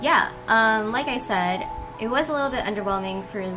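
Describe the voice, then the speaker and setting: Excitable woman reacting, music behind. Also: fairly high pitch, low-quality recording. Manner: excitable, rambling, exclaims in drawn-out bursts. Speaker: host of a reaction video. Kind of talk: casual, gushing reaction commentary. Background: music from the video being watched.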